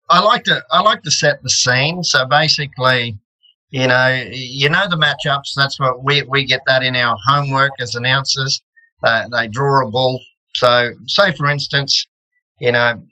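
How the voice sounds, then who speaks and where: An Australian man with a very bright, ringing voice talks easily on a video call. He switches into a rodeo announcer's patter and builds up the scene like a commentator. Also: medium pitch, rough recording. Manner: easygoing, sets the scene like a commentator. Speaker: rodeo announcer and radio presenter. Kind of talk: casual video-call chat, rodeo-style calling.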